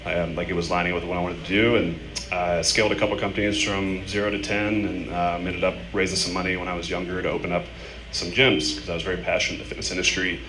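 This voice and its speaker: Young male panelist, casual choppy phrasing, echoey venue with clear background noise. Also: deep voice, little pitch movement, quick pace. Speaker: startup founder on a panel. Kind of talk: casual personal story on a panel. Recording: echoey noisy room, live event microphone.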